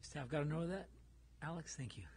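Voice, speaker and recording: Mayor reading motions, mellow voice. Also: male voice, a little high, fairly quick, slight background noise. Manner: reads motions in a formal procedural tone. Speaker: mayor chairing council. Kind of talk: chairing a council meeting. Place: council chamber.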